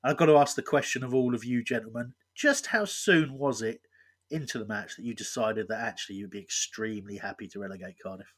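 A man's voice: on the low side, fairly quick. Joking man hosting a football podcast. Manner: joking, teasing. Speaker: podcast host. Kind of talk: casual football podcast chat.

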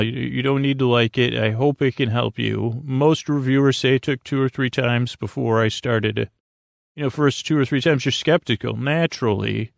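Gravelly, meandering man hosting a bedtime podcast. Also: medium pitch, dry recording. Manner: meandering, hesitant, halting asides; goofy, silly, gentle. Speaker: podcast host. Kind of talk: rambling bedtime monologue to help listeners sleep.

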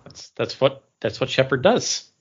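A man chatting casually as a podcast co-host, halting and repeating words as he makes his case. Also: medium pitch, big pitch swings, slightly rough, lo-fi sound. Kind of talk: casual chat about theme park attractions.

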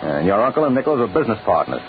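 A man's voice: fairly low pitch, very animated, very fast. A man playing a brash, wisecracking American private detective in 1940s radio drama style, clipped, sardonic and pressing. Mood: brisk, deducing. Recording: tinny old radio recording, faint hiss.

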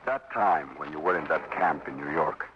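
An actor playing a homesick young soldier in a 1950s radio drama, halting and earnest. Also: male voice, rumbling low. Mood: wistful, hesitant. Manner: halting, trailing off. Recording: muffled, noisy 1950s radio broadcast.

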